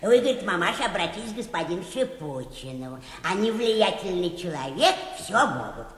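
Radio play: humble yet nagging older woman supplicant, folksy whine, animated, echoey hall sound. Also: on the low side, a little fast. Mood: pleading. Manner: whining, obsequious, old-fashioned folksy. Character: pestering, plaintive older petitioner woman, humble yet stubborn. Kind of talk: classic comic radio play acting.

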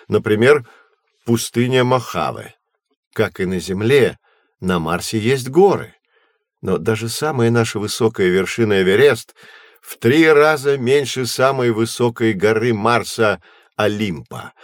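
An actor playing a patient, knowledgeable teacher in an educational audio drama, explaining in measured, clear phrases. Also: male voice, on the low side, dry recording, clean studio sound. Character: patient, knowledgeable male astronomy teacher guiding children.

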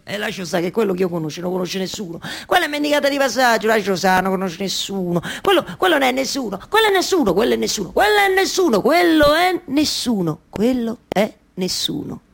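An actress playing an anguished, obsessive waiting wife in a theatrical monologue, with a Roman accent and repetitive phrases that halt and then rush. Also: female voice, fairly high pitch, gravelly, exaggerated voice. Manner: repetitive, halting then rushing, colloquial dialect storytelling. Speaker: stage actress. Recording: live music behind the voice.

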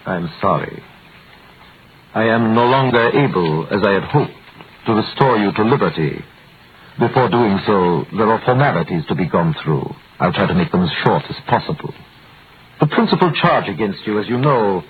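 A man playing a calculating royal prosecutor in a 1930s radio drama. He speaks formally and with authority, his pitch swinging freely over a thin, phone-like vintage recording. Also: animated, lightly acted. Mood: grave, controlled. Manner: formal, commanding, measured. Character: smooth, calculating royal prosecutor hiding alarm behind authority. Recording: tinny vintage radio broadcast, faint hiss.

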